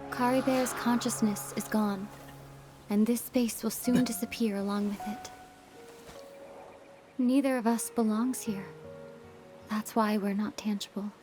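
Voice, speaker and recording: Woman acting a composed, lower-pitched solemn wanderer. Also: steady tone, unhurried, pauses often, warm tone, natural voice. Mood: soft, gentle. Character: solemn, composed young wanderer, centuries old, quietly resolute. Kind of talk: scripted game voice acting. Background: game music.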